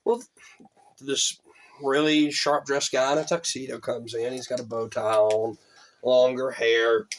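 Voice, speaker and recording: A man's voice: mid-range voice, measured pace, slight background noise. A man telling a rambling story in casual chat, with lots of fillers and restarts. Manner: rambling, animated, many fillers. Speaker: band member telling a story.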